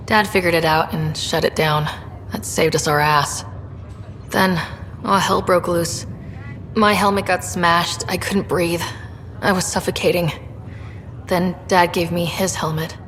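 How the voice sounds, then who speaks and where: A woman's voice: on the low side, vocal fry, a bit bright. A woman playing an earnest, caring young explorer in a sci-fi video game, talking in a subdued, conversational way. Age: young adult. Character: earnest, caring young female space explorer, twin sister. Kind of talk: naturalistic video game dialogue acting. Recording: reverberant room, faint ambient noise.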